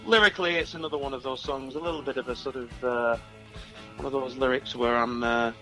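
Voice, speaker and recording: A man with a bright, crisp-edged voice talks casually as a musician being interviewed. He is understated and hesitant, feeling his way through long, rambling answers. There is light music underneath. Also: medium pitch, fairly even intonation, a little fast, low-quality recording. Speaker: musician guest discussing his own album.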